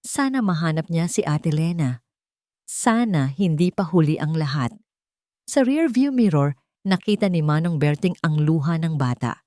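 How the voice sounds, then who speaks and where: A woman's voice: lowish voice, darkish tone, no echo, studio quality. A woman with a Filipino accent narrating a dramatic scripted story. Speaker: narrator of love stories.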